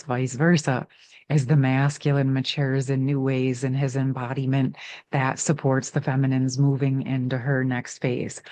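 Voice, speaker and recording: A woman giving an intuitive card reading, musing softly about feminine and masculine energies, with her voice catching now and then. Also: very deep voice, dry recording. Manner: slow, soft, drawn-out spiritual musing. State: voice catching, clearing throat. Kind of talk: spiritual tarot reading.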